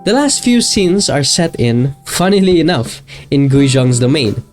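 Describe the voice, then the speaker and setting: A man's voice: a little high, animated, unhurried. A man giving casual, scripted video commentary in an informal, self-deprecating, jokey way. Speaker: video essayist, channel host. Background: guitar and plucked-string music.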